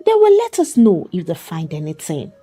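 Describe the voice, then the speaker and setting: A woman's voice: on the low side, wildly swooping pitch, moderate pace, warm tone, slightly rough, soft background music, exaggerated voice. A woman voicing a stern, agitated husband who challenges in disbelief, in a dramatic story. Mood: worried. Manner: incredulous, challenging.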